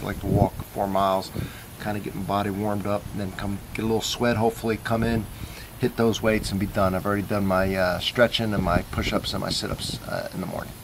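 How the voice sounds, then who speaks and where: A man with a fairly low voice gives a laid-back coffee review outside in his yard. He rambles easily, thinking out loud between sips. Faint outdoor ambience sits behind him. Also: slight background noise, low-quality recording. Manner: easygoing, rambling, thinks aloud. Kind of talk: casual vlog review. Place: backyard outdoors.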